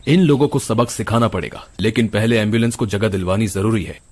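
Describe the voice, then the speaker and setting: A man playing the stern, righteous, caring ghost of a dead doctor in an animated moral story, speaking in an earnest, scolding way. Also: lowish voice, fairly quick, slightly rough. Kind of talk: animated Hindi moral horror story acting. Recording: music behind the voice.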